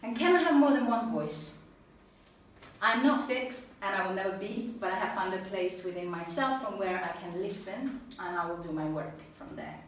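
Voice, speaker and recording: A woman with an Italian accent giving an earnest, animated personal talk to an audience, then answering questions. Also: medium pitch, moderate pace. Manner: earnest, animated, laughs easily. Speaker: speaker at a talk. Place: event room with an audience. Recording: echoey room, mic at a distance, faint noise.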